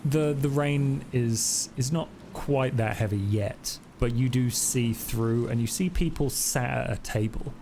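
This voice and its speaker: A man improvising narration as game master of a tabletop roleplaying game, in a halting, descriptive way. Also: mid-range voice, creaky, dark tone, slight background noise.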